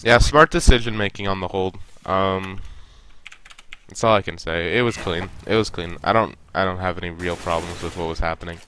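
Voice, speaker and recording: Deep bright-voiced man with a stuffy nose. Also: normal speed, slight background noise. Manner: relaxed, coaching, talks to players directly. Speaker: esports coach reviewing a match. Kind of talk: casual game review commentary.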